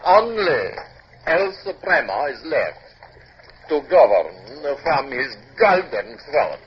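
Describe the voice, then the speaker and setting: An actor playing a deranged, imperious fallen despot who thinks himself a god, in grandiose 1950s radio drama style. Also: male voice, a little high, very animated, slow. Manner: grandiose, raving, theatrical. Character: deranged imperious deposed rebel despot in chains, self-proclaimed god. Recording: muffled old radio broadcast, faint hiss.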